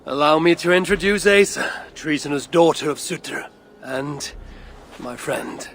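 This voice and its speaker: A man playing a grave, brooding older Norse god in a video game cutscene, speaking in a measured, sometimes hushed way. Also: on the high side, slight background noise. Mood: resolute.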